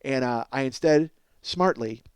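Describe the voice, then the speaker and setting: A man hosting a podcast, telling a casual, profane comic story in an animated, exaggerating way and acting out both sides of conversations. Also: medium pitch, a little slow, clear, resonant tone, dry recording, studio quality. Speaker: podcast host.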